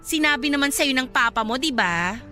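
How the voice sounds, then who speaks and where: A smug, cold-hearted Filipino rival in a radio drama. Her high voice swings widely as she taunts and snaps dismissively, over a music bed. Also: animated, normal speed. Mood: smug, taunting. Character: smug, cold-hearted young married woman, a scornful rival.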